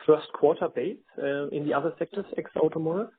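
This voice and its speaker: A man's voice: a little high, brisk, slightly rough. A man speaking as a financial analyst on a conference call, feeling his way through questions in a tentative, roundabout, spontaneous style. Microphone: telephone. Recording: narrowband phone conference line.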